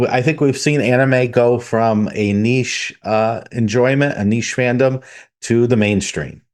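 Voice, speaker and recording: A man's voice: mid-range voice, unhurried. A man co-hosting a tabletop gaming podcast, explaining game-running tips and reading out news items. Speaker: podcast co-host. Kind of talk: casual podcast discussion.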